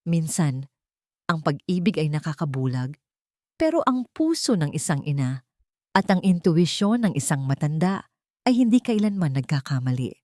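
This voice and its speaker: A woman with a Filipino accent voicing an elderly housekeeper, gentle but firm and protective, in a dramatic audio story. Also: low-pitched, sing-song, moderate pace, no echo, studio quality. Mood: firm, earnest. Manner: gentle, emphatic, respectful.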